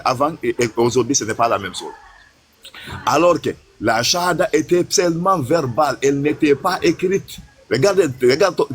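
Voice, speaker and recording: A man in a heated religious debate, interrupting and repeating himself in a halting, argumentative way. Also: medium pitch, slightly rough.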